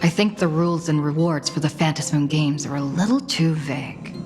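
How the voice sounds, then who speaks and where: A woman's voice: low-pitched, brisk. An actress playing an earnest young woman protagonist in a video game, speaking in a plain, direct way. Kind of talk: scripted game voice acting. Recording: long reverb, loud music behind.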